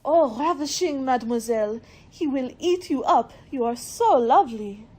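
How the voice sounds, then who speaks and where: A woman playing a gushing French maid in a radio play, with a French accent and halting, hesitant English. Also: high-pitched, lively intonation, a little mellow, slightly rough. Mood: gushing, teasing. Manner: hesitant, searching for English words.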